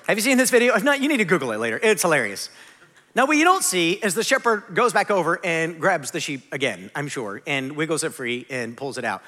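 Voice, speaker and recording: A man in his forties preaching a conversational sermon, animated and self-deprecating. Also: fairly high pitch, a little fast. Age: 40s. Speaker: pastor. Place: large church hall. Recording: long hall reverb.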